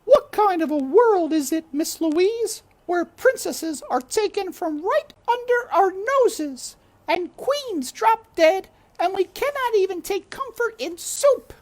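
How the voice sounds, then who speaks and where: A woman voicing a ferocious, stout female castle cook who shouts and wails in a coarse, broad way. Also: very high-pitched, lively intonation, slow, voice pushed up, full character voice. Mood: despairing.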